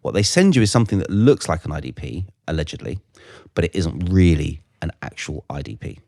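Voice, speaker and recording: A middle-aged British man co-hosting a casual podcast, explaining things in a halting way. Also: extremely low pitch, big pitch swings, creaky, a little mellow, no room sound, studio quality. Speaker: podcast co-host.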